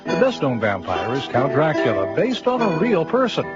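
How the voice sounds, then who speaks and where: A man's voice: fairly high pitch, huge pitch swings, moderate pace. A man presenting a radio segment in a scripted, explanatory narration style. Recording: dull radio sound. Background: loud plucked string music.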